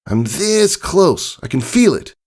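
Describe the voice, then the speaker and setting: A man's voice: high voice, sing-song, slow pace, darkish tone, breathy edge, pitched above the performer's own voice, heavily acted. A man voicing a stubborn, determined young tomboy filly pegasus in a storybook reading, with a defiant, insistent manner. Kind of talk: audiobook character voice.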